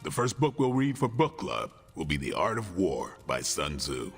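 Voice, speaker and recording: A man playing a gruff, guarded, bookish vampire-hunter warrior with dry wit, in video game cutscene dialogue. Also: deep voice, very animated, moderate pace. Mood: dry, assured. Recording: long reverb, music underneath.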